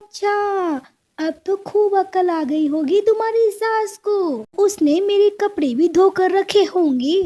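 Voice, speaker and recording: A nosy female bird from a cartoon story, acted by a woman. She gossips in a very high, mellow voice at a slow, inquisitive pace. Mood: teasing.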